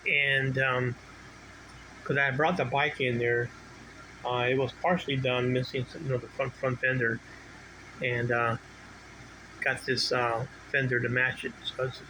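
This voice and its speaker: Man, middle-aged or older, medium pitch, casual hobbyist walkthrough, halting and rambling, clearly audible background noise. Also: rough recording. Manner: rambling, halting, self-interrupting. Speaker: bicycle collector showing his collection. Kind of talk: casual unscripted video walkthrough. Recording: clear steady background noise, ambient hum.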